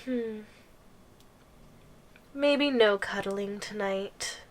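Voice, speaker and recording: A woman's voice: medium pitch, extremely slow. A woman playing a playful, possessive giantess girlfriend in an ASMR audio roleplay, giggly and coy with lingering hums. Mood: teasing, menacing.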